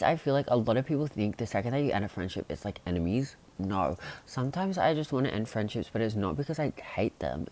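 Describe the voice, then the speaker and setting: A young man chatting casually to camera in a rambling vlog, full of fillers and sudden excited jumps in pitch. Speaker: vlogger.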